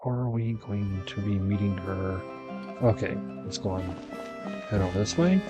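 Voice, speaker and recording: A man with a low voice commentates casually on a video game he is playing. He sounds offhand, thinking aloud, and game music plays behind him. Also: fairly even intonation, normal speed. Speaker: gamer playing on camera or stream. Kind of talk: casual gameplay commentary, reading choices aloud.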